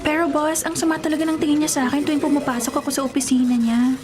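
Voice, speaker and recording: A woman's voice: high-pitched, flat delivery, racing, lightly acted. Cheeky, exasperated Filipino female assistant played by an actress. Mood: cheeky, complaining. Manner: complaining, wry, exaggerating. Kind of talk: Filipino radio drama acting. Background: music.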